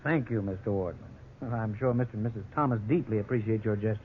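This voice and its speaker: A man with an American accent playing a courteous, measured attorney in a 1950s radio drama, speaking in a polished, diplomatic way. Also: fairly low pitch, lightly acted. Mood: courteous, satisfied. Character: measured, courteous male attorney negotiating for clients. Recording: tinny, noisy 1950s radio recording.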